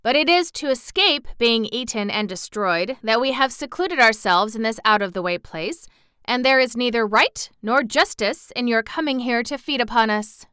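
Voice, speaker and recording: A woman's voice: a little high, lively intonation, normal speed, sharp tone, clear, resonant tone, no echo, studio quality, pitched above the performer's own voice. A woman voicing a portly, self-important bun man in a storybook, stern, stiff and formal. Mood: stern, frank.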